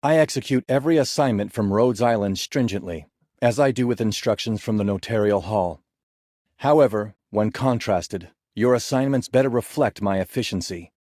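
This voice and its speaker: An actor playing a stringent, efficient executor in a game, with precise, formal, matter-of-fact delivery. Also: male voice, fairly low pitch, normal speed, darkish tone, no room sound, studio quality, lightly acted. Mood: businesslike. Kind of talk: scripted game character monologue.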